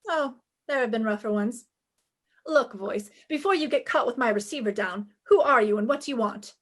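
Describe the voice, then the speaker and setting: A woman's voice: fairly high pitch, normal speed, lightly acted. An actress playing a wry, breezy, flirtatious female private eye in a 1950s-style radio detective drama. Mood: wry, teasing.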